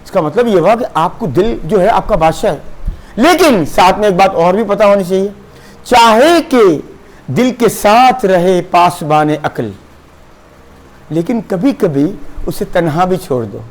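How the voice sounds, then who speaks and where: Pakistani male lecturer, high voice, animated classroom delivery, mixes languages. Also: unhurried. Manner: animated, switches between Urdu and English, acts out students' voices.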